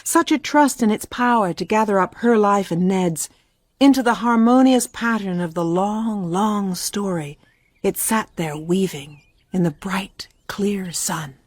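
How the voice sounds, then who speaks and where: A woman's voice: medium pitch, unhurried, darkish tone, no echo, clean studio sound. A woman narrating a literary story for a radio play from a script.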